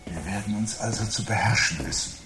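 An actor playing a brooding, articulate man who calmly awaits the world's end in a radio play, speaking in a measured, formal, sardonic way. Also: lowish voice, over music, close to an everyday voice. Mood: resigned, brooding. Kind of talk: 1970s German radio play acting. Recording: 1970s radio production with audible background noise.

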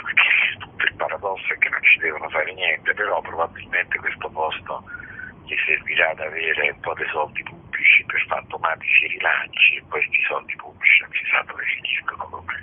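Very deep, rough-voiced older man on phone. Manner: discursive, digressive. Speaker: magazine director, interview guest. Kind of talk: spoken interview explanation. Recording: muffled narrow phone line.

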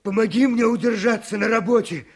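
An actor playing a desperate, servile henchman in a radio play, with a husky creaky voice and halting, stammering speech. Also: high-pitched, full character voice. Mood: pleading, servile. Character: desperate, servile male henchman pleading for his job.

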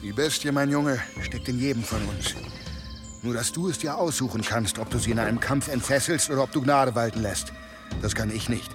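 Male actor as weary ex-berserker, grave, resigned, repentant, measured phrasing, medieval radio drama, music underneath. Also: medium pitch, close to an everyday voice. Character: weary, repentant medieval berserker warrior sworn against weapons. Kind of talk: radio play acting.

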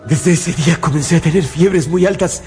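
Radio drama role: haunted young scientist , played by a man, agitated and halting, fairly high register, prominent score underneath. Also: a little mellow, natural voice. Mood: haunted recollection. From Geneva, as the figure. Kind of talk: radio drama acting and first-person narration. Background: music.

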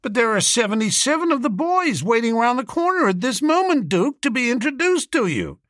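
Guy as a wordy american businessman, hearty and formal, pitched up high, very lively. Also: brisk, dry recording, studio quality, exaggerated voice. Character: businesslike American small-town civic booster, formal and hearty.

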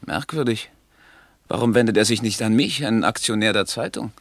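An actor playing an earnest, polite, lovestruck young suitor in a German radio play. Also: male voice, on the low side, a little fast, a bit bright, no room sound. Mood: surprised. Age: young adult. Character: earnest, lovestruck young suitor living beyond his means.

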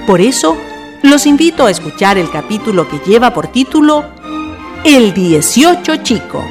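A woman's voice: on the high side, sing-song, normal speed. A woman with a Chilean accent narrating a scripted radio drama as its host, in a storytelling style. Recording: music behind the voice. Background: music.

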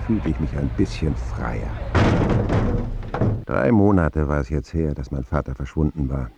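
A man's voice: muffled recording, noisy background, heavily acted. An actor playing a reflective, wry man narrating his lonely boyhood in a radio drama. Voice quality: deep, gravelly. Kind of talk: scripted first-person radio drama narration.

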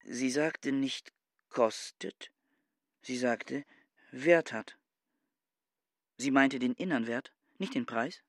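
A man's voice: mid-range voice, slow pace, pauses often, no room sound, clean studio sound. An actor voicing a pedantic, reclusive male scholar around forty in a radio play, with a stiff, formal, brooding manner. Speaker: actor playing Peter Kien.

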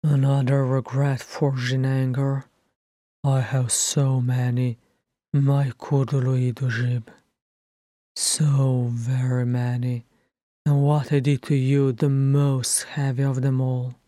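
Proud brooding dwarf king, acted by a narrator: growling, very slow, emphatic, dry sound. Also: mid-range voice, flat delivery, warm tone, no room sound, lightly acted. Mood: weary, remorseful. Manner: growling, emphatic, drawn-out words. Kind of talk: fan fiction audiobook character acting.

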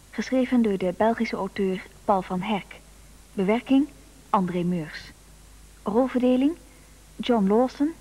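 A woman's voice: medium pitch, a little mellow, low-quality recording. A woman announcer reading out radio play credits in a formal, scripted style.

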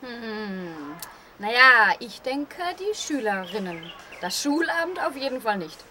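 A woman's voice: on the high side, sing-song, takes it slowly, pushed and bright, exaggerated voice. A woman playing a cheeky, rebellious and opinionated schoolgirl daughter in a scripted radio play. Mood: opinionated, casual. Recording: clear background noise and ambience.

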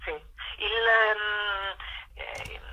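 A woman's voice: medium pitch, little pitch movement, very slow, pushed and bright. A woman giving reflective, hesitant interview answers over the telephone, repeating phrases for emphasis. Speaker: theatre director, interview guest. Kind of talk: unscripted radio interview answers. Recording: dull, lo-fi telephone call to radio.

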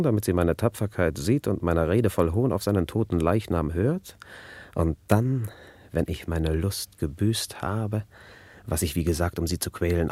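A spiteful nobleman bent on cruel revenge in a classical radio play. His low voice is gloating and menacing, used to giving orders. The sound is close and dry. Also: darkish tone, dry recording. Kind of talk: classical radio drama acting.

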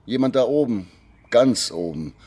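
An actor playing a contemptuous Soviet KGB boss in a noir radio drama, sneering, mock-polite and threatening, with a husky voice. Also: male voice, mid-range voice, animated, unhurried, darkish tone.

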